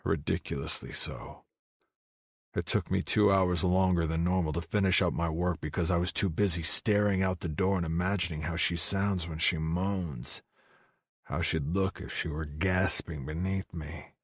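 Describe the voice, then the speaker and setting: A man with a husky voice reading first-person romance audiobook narration. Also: sits low, steady tone, normal speed, dry recording, clean studio sound.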